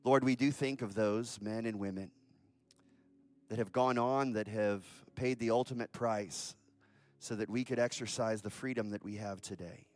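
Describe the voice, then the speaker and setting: A man's voice: fairly low pitch, steady tone, normal speed, a little mellow, soft background music. A pastor leading his congregation in an earnest, solemn prayer and announcement during a church service.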